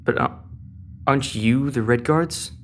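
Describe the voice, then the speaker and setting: A man's voice: medium pitch, a little slow, a little hiss or hum, close to an everyday voice. An actor playing an anxious teenage boy who asks hesitant questions in a sci-fi audio drama. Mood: questioning.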